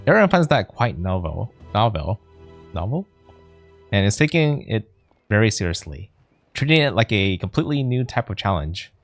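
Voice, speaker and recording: A man's voice: fairly low pitch, sing-song, normal speed, dry recording, soft background music. A man voicing a confident, easygoing athletic coach while reading game dialogue aloud, breezy and good-natured. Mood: businesslike, upbeat.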